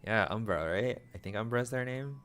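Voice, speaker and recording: A young man streaming a game, chatting casually with viewers in a flat, low-energy way, full of self-deprecating asides. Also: fairly quick, clear, resonant tone. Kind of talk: casual livestream chat.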